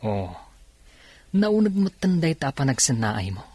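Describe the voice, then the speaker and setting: A man's voice: medium pitch, very animated, unhurried, a little mellow, slightly rough, no room sound. A man playing a domineering new husband in a radio drama, speaking in an emphatic, demanding way. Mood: coaxing. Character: domineering new husband, turning from sweet to angry. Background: music.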